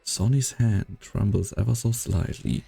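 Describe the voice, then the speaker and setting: A man performing scripted dramatic narration as a brooding storyteller, with a gravelly, husky voice. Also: on the low side, measured pace, dark tone, full character voice. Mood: brooding, measured.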